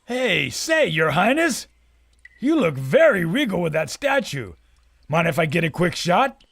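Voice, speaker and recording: An actor playing a cocky adventurer filmmaker and pilot in a pulp audio drama, theatrical, with old-time slang. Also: male voice, sits high, big pitch swings, unhurried, vocal fry, a bit bright, no echo, exaggerated voice. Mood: hurried. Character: brash adventurer filmmaker and war veteran pilot.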